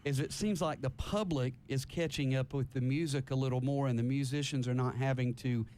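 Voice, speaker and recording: A man's voice: fairly even intonation, brisk, a little mellow. A man hosting a live band interview on college radio, talking in an effusive, rambling, informal way. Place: radio station, live broadcast. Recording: faint rumbling background noise.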